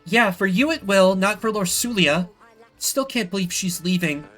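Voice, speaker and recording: A man's voice: high-pitched, lively intonation, moderate pace, a bit bright, faint music behind, voice pushed up. Man voicing a sullen, cheeky teenage princess. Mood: grudging.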